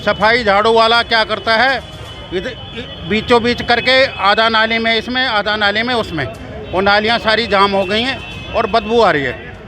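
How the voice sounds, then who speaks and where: A man's voice: high-pitched, moderate pace, over music. A man, a party worker, speaking emphatically and complaining in a street interview.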